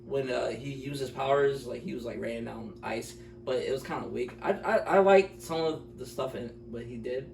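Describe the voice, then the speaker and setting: A man's voice: medium pitch, normal speed, vocal fry, hardly any pauses, darkish tone. A man co-hosting a casual movie review chat, speaking informally and with strong opinions, often repeating himself to make his point. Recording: roomy, distant mic with faint noise.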